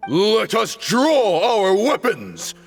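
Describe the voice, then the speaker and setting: An actor playing a proud, fanatical desert warrior leader in a video game, speaking in a grandiose, defiant way. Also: male voice, high-pitched, wildly swooping pitch, extremely slow, gravelly, faint music behind, exaggerated voice. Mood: fervent, defiant. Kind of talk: video game villain acting.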